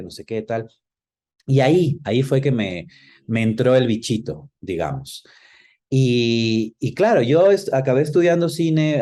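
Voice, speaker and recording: A man's voice: mid-range voice, warm tone. A man with an Ecuadorian accent speaking reflectively as an interview guest, explaining things in a conversational way. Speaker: interview guest, film professional. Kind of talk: conversational interview, personal recollection.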